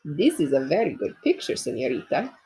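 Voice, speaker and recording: A woman's voice: low-pitched, very animated, fairly quick, mellow, breathy edge, pitched below the performer's own voice, exaggerated voice, rough recording. A woman voicing a kindly male newsstand owner in a friendly, reassuring way for a storybook.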